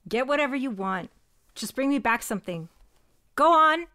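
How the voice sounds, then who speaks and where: A woman's voice: high-pitched, expressive, moderate pace, heavy background noise. Exasperated woman in an audio drama. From United States. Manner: exasperated, stern. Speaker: shop owner character.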